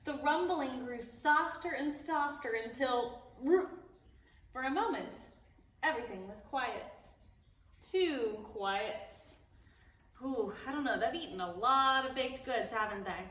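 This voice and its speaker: A woman with a high, bright voice reads a picture book to little children, taking her time and leaning into each line. She is animated and playful, breaking off to ask the kids questions. The recording is echoey, with her voice sitting a little back from the mic. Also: slow pace. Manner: playful, gasps and exclaims at the story. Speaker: library storytime reader. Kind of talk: reading a picture book aloud to preschoolers. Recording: echoey room, voice a bit far from the mic.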